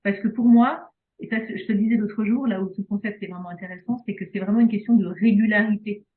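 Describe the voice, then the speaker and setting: A woman's voice: medium pitch, quick pace. A woman from Belgium, a speech therapist talking casually in an interview, hesitant with many fillers and restarts. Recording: phone-like video call audio.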